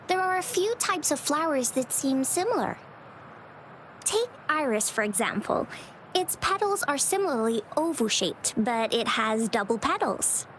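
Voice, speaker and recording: A woman's voice: high-pitched, fairly bright tone, a little hiss or hum, heavily acted, low-quality recording. A woman voicing a shy, studious young botanist in a video game, explaining precisely and modestly.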